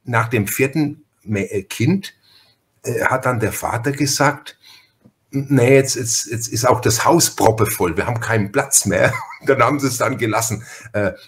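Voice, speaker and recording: A man's voice: mid-range voice, moderate pace. Chatty Franconian man hosting a podcast. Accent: Franconian German. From Franconia, Germany. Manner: chatty, rambling. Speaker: podcast host. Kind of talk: casual interview chat.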